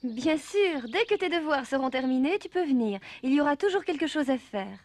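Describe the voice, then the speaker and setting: A woman voicing a kind, motherly good fairy in a dubbed cartoon, with a gentle, caring manner. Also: sits high, lively intonation, a little fast, no echo. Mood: gentle, welcoming.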